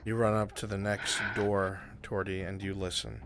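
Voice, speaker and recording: A man's voice: low-pitched, little pitch movement, normal speed, noisy background. A man acting as game master, narrating a live improvised roleplay game and giving players short directions. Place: voice chat in a virtual reality game.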